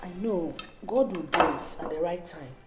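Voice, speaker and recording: Actress as a low-voiced pleading Nigerian wife, music. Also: female voice, animated, normal speed, close to an everyday voice. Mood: pleading, hesitant. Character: patient, devout, pleading wife. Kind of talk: film drama acting.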